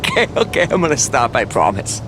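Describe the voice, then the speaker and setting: An actor playing a cocky, wisecracking, juvenile mercenary in a video game, with a sarcastic, hammy delivery. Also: male voice, mid-range voice, huge pitch swings, quick pace, over a noisy crowd, very noisy. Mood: goofy, teasing. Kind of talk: animated video game voice acting.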